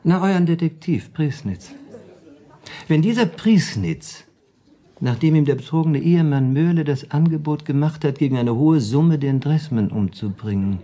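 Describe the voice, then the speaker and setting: Wry, animated man, mellow and husky. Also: on the high side, moderate pace. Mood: scheming. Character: wry, shrewd male dinner companion and armchair plotter. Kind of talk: German radio play acting.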